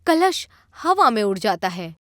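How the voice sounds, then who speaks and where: A woman narrating a scripted animated horror story. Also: mid-range voice, unhurried, no room sound, studio quality.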